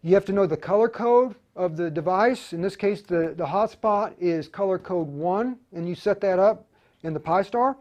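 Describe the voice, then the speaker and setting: A man with a slightly high voice is hosting a hands-on tutorial. He explains things informally, stopping and starting as he thinks aloud, like a hobbyist radio operator showing the steps. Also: normal speed, darkish tone. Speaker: livestream tutorial host, amateur radio operator. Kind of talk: casual hands-on demonstration.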